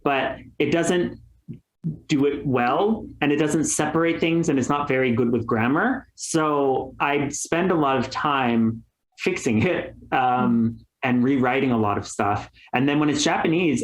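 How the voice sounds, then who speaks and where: A man's voice: mid-range voice, normal speed. Man, podcast guest, candid, chatty, echoey room. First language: English. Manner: chatty, filler-heavy, candid. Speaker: guest YouTuber. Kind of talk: casual podcast chat.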